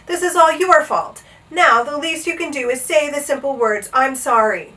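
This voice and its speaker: Woman as a high, urgent, outspoken storybook boy. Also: moderate pace, recorded from a distance, natural voice. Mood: scolding. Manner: urgent, scolding, shouting. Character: outspoken, exasperated boy servant, bold and earnest. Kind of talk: storybook character voice.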